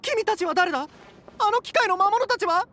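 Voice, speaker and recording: High, panicky young male villager. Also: expressive, moderate pace, a bit bright. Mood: terrified. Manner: stammering, agitated. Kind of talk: Japanese anime game voice acting.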